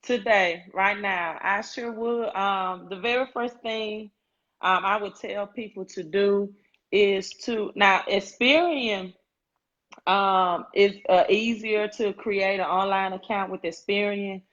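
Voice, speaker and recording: A credit coach joins a live stream over a video call and talks through her advice slowly, with frequent ums. Her voice sits in a middle range. The call audio sounds dull and lo-fi. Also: mid-range voice, fairly even intonation, a bit bright. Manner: careful, many filler ums.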